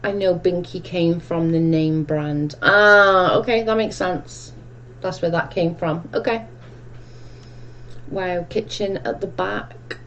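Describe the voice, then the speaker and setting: A woman's voice: fairly low pitch, takes it slowly, fairly bright tone, highs cut off, slight background noise. A woman with a strong Welsh accent hosting a casual livestream chat, reading viewer comments aloud and answering them in a chatty, rambling way. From Wales. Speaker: livestream host.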